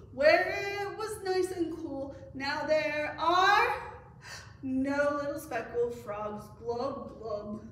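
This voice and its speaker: A woman's voice: sits high, a bit bright. A middle-aged woman, a children's librarian, leading story time with cheerful sing-song counting and songs, recorded by a camera across the room. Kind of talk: story time reading and action songs for kids. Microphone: far camera. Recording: echoey room from far off, faint noise.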